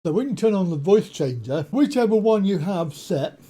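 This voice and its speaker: A man hosting a tech tutorial, explaining a software setup step by step with frequent pauses and ums on a desktop USB mic at his home computer desk. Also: high-pitched, expressive, slightly rough. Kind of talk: screen-share software walkthrough. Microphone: desktop USB condenser mic.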